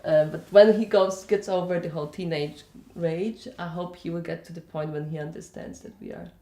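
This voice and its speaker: A Polish-accented woman with a fairly low voice gives casual interview answers. She rambles conversationally and often checks in with the listener. The room around her is noticeably echoey. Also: steady tone, normal speed, mellow. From Poland. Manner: conversational, rambling, checks in with "you know" and "yeah?". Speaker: interviewee, festival organiser.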